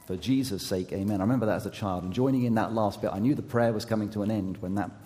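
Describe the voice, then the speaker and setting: A man preaching a sermon as an associate youth pastor, his voice going hoarse, speaking haltingly with many fillers and restarts and gentle self-deprecating humor. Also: lowish voice. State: losing his voice. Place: church sanctuary. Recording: long hall reverb.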